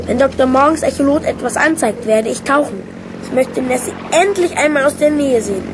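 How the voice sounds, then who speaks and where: Brave, keen little aristocrat boy, high voice, radio drama, heavy background noise. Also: lively intonation, a little fast. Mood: bold, defiant. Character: eager young boy, brave son of a lord. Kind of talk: German radio play acting. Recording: loud background noise over studio drama.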